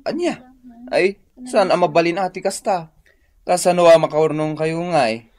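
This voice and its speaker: Actor as a concerned, inquisitive Ilocano buddy. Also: male voice, on the high side, moderate pace, darkish tone, natural voice. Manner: probing, questioning. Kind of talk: Filipino radio comedy drama acting.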